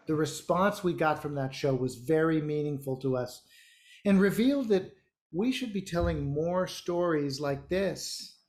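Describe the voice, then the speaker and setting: A man playing a self-important theater director in an online play, officious and given to hemming and hawing. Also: a little high. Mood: earnest, smug. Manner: halting, officious, hems and haws. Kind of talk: naturalistic dialogue in an online one-act play. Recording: echoey room on video call.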